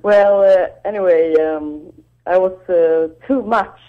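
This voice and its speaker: A Swedish woman, a guitarist, talking on the phone in halting English with a Swedish accent and frequent laughter. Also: on the low side. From Sweden. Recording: dull phone line.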